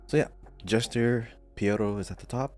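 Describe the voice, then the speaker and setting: A very low-voiced man talks over background music. He is a podcast co-host, explaining game lore in a casual, conversational style. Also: normal speed, darkish tone. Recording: music playing behind.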